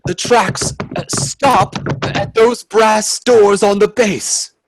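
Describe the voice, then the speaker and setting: A man's voice: high voice, steady tone, slow pace, vocal fry, noisy background, exaggerated voice. A man playing a cautious, anxious Edwardian time traveller in a radio play, earnest and reflective. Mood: alarmed. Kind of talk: radio play acting and narration.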